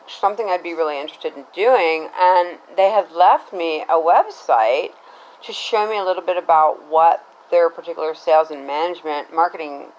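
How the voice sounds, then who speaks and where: A woman's voice: on the low side, darkish tone, muffled recording. A middle-aged American woman telling a personal story casually, in a rambling, conversational way, often checking in with the listener. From Cincinnati, Ohio, United States.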